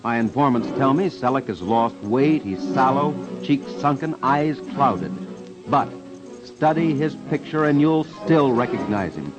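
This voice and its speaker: A man's voice: medium pitch, animated, unhurried. An American man hosting a vintage radio crime show, making urgent, emphatic, dramatic appeals to listeners. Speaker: radio host and investigator. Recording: dull vintage radio, music underneath.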